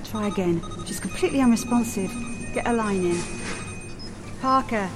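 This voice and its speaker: An actress playing a brisk, urgent medic at an emergency in an audio drama. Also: female voice, mid-range voice, music in the background, close to an everyday voice. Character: urgent female medic attending an unresponsive patient.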